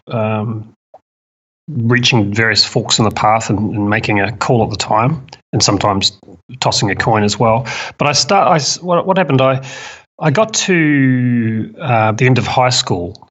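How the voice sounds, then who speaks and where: A man's voice: medium pitch, lively intonation, a little slow, a bit bright. A man with a New Zealand accent chatting as an interview guest, hesitant and thoughtful, often repeating words. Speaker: podcast guest, permaculture designer. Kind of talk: casual interview chat. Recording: dull lo-fi audio.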